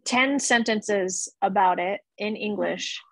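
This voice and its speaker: A woman's voice: mid-range voice, takes it slowly, a bit bright, no echo, poor audio. A woman in her thirties giving a casual guest lecture on a video call through earbuds, chatty and laughing easily as she checks in with her listeners. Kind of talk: casual explanatory teaching over video call. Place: small indoor room.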